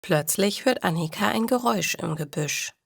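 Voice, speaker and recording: A woman narrating scripted stories for language learners, with clear, measured phrasing. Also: on the low side, expressive, no echo, clean studio sound.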